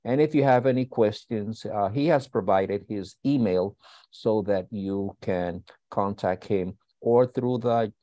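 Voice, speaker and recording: A man hosting an online school session, giving housekeeping announcements in a halting way with many uh fillers. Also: on the low side, warm tone, dry recording, highs cut off. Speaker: host and school founder. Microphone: video call.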